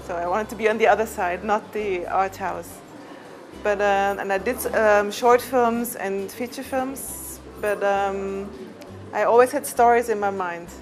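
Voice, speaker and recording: A woman filmmaker who grew up in Switzerland, speaking hesitantly and searching for words as she tells her story. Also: mid-range voice. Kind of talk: interview testimony.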